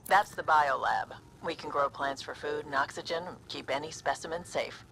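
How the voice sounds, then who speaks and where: An actress playing a brisk, confident, no-nonsense ship officer in a video game, businesslike and matter-of-fact. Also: female voice, low-pitched, gravelly, a bit bright, slight background noise, rough recording. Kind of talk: video game cutscene acting.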